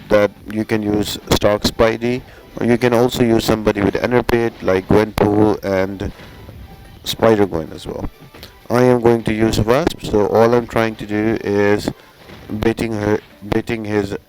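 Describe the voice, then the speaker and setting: A man with a fairly low voice gives a casual game walkthrough as a channel host. He explains things in an instructional way and speaks straight to his viewers. Light music plays behind his voice. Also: low-quality recording.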